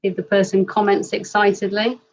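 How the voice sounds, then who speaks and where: A woman hosting a live stream, upbeat and quick to laugh. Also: mid-range voice, level tone, recorded from a distance, rough recording. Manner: bright, giggly, upbeat. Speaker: host. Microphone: video call.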